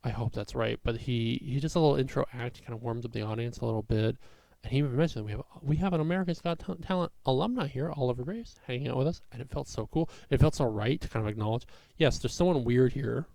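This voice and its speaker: A young man, a comedian, talking through a personal vlog in a rambling way with a raspy voice and many fillers. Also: medium pitch, fast talker, mellow, no room sound, low-quality recording. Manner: rambling, full of fillers and restarts.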